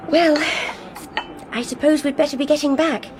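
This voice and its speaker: A rather high young English woman's voice played by an actress, well-bred and polite. She speaks with reserve in radio drama style, with faint train noise behind her. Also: fairly even intonation, moderate pace, lightly acted. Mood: curious, wry. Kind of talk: radio drama dialogue and first-person narration.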